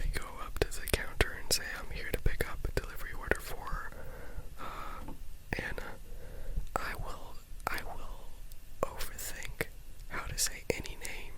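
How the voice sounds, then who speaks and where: Rambling whispering ASMR host. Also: poor audio. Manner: whispers throughout, rambling with restarts and self-talk.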